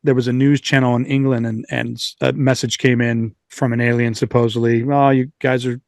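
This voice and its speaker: A man's voice: medium pitch, level tone, a little fast, vocal fry, no echo, clean studio sound. A man chatting informally as a podcast host, retelling and reading out accounts, often wandering into asides, with some light swearing.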